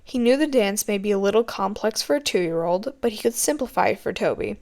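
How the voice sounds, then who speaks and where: A woman's voice: mid-range voice, brisk, vocal fry, a little mellow. A woman narrating a written story as an amateur audiobook reader.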